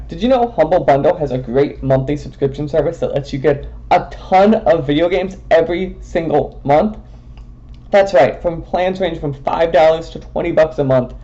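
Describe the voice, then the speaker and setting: A man with a fairly high, mellow voice reads a podcast sponsor spot. The sound is muffled and a bit lo-fi. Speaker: podcast co-host reading an ad. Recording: dull, muffled audio.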